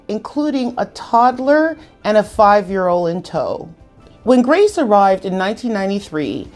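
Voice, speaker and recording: A woman hosting a virtual community event, reading a measured scripted introduction. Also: medium pitch, a little mellow. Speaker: event host.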